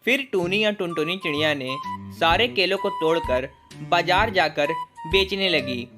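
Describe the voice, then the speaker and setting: A woman's voice: on the low side, lively intonation, a little slow, over music. A woman narrator reading a scripted children's story.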